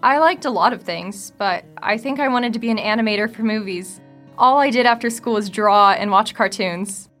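A woman's voice: medium pitch, moderate pace, a bit bright, soft background music, natural voice. A woman playing a hopeful, friendly girl survivor in an audio drama, encouraging and reflective.

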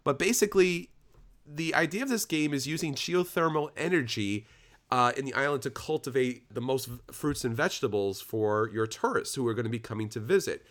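A man's voice: mid-range voice, expressive, fairly quick, a bit bright. Chatty man co-hosting a board game podcast. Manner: chatty, enthusiastic, restarts often. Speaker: podcast co-host. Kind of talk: casual chat.

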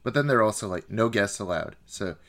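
A man's voice: on the low side, lively intonation, fairly quick, a bit bright. A man co-hosting a podcast, casually discussing films in hesitant, stop-and-start phrasing. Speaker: podcast co-host.

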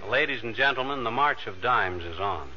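A man giving a scripted 1940s radio charity appeal in an earnest, measured way. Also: mid-range voice, lively intonation, over loud music. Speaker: radio show star. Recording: muffled, noisy old radio recording.

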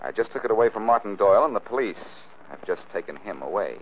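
An old, narrow-band radio recording with faint noise carries a man playing a sardonic sleuth. He is cool and wisecracking, with a lowish voice that swings widely as he needles and explains, in 1940s drama style. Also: expressive, fast, lightly acted. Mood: probing, wry.

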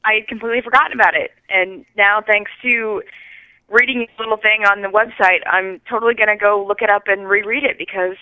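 A woman calling in by telephone to chat casually, speaking in a hesitant, halting way. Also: mid-range voice, fairly even intonation, no room sound. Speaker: caller leaving a listener message. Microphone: phone call. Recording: tinny, narrow phone line.

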